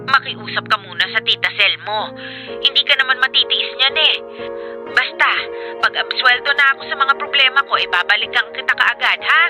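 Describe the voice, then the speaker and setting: A woman's voice: fairly high pitch, fairly quick, lightly acted. A woman playing a troubled, evasive mother in a Tagalog radio drama. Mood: pleading, reassuring. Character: troubled, evasive mother leaving her child. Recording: loud music under the voice. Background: music.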